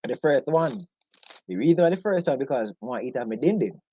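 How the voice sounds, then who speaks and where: A man's voice: mid-range voice, animated, brisk, warm tone, breathy edge. A man with a Jamaican accent hosting a casual live discussion, joking and laughing often with the viewers. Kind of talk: casual live-stream chat. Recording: dull lo-fi sound.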